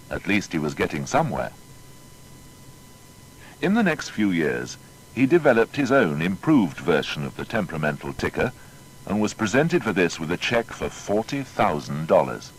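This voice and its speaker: A man narrating a scripted biographical documentary in a formal, dramatic storytelling manner. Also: rough recording. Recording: faint noise and low rumble.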